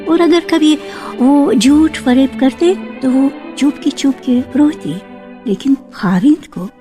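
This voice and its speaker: A woman narrating a literary radio drama in an expressive, deliberate storytelling style, lingering on key words. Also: high voice. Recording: archival radio broadcast with faint music. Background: faint music.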